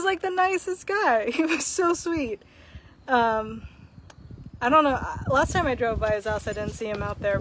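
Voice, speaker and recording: A woman's voice: medium pitch, a little hiss or hum. A young woman from Okinawa, Japan, chatting casually on a live stream outdoors, thoughtful, with many fillers. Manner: thoughtful, talks with frequent fillers and laughs. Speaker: vlog host. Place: outdoors under a concrete shelter in a grassy park. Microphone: phone held at arm's length.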